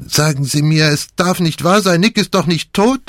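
A man's voice: fairly low pitch, flat delivery, moderate pace, no room sound, studio quality, voice pushed up, close to an everyday voice. A man reading an audiobook, voicing a frantic, anguished young man who blurts out urgent questions. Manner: bursting out in urgent, loud questions. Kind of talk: audiobook dialogue.

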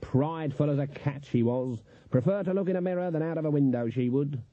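Man doing a stern, husky old woman witch. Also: on the high side, brisk, lightly acted. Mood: dark, dismissive. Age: older. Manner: grumbling, dismissive. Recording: echoey, narrow phone-like audio.

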